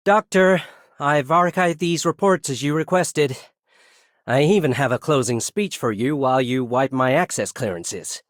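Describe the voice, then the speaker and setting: A man's voice: on the high side, unhurried, no echo, studio quality, lightly acted. A man voicing a dutiful, dryly witty assistant in a video game. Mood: dry, earnest. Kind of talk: video game character voice lines.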